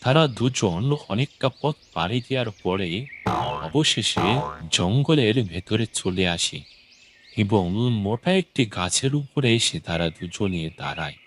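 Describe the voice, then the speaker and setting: A man reads a scripted children's story as narrator. His voice is low and his delivery unhurried. Clear watery noise runs behind him. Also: lively intonation.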